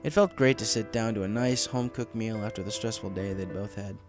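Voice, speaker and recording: A man's voice: lowish voice, brisk, over music. A man reading a story aloud as scripted audiobook narration. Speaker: fan fiction audiobook reader.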